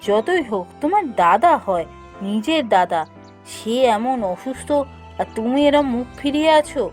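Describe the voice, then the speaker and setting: A woman's voice: on the high side, very animated, moderate pace, darkish tone, faint music behind. A woman voicing a caring, insistent young village wife in a cartoon, earnest and pleading. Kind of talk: cartoon voice acting.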